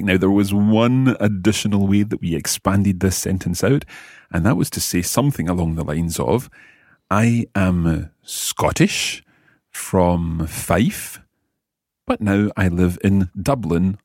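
Deep, husky male lesson host. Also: lively intonation, darkish tone, no room sound, clean studio sound. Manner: slow, patient prompting with pauses. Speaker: language course host. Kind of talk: guiding a listening lesson.

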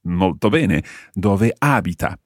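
A man hosting a spoken language lesson, prompting a learner and pausing for listeners in a slow, patient way. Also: low-pitched, lively intonation, dry recording, clean studio sound. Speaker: language course host.

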